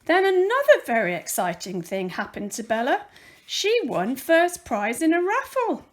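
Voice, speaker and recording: High, animated woman reading a children's story. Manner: deliberate, careful storytelling with dramatic emphasis. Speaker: volunteer children's story reader. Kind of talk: expressive read-aloud of a children's picture book.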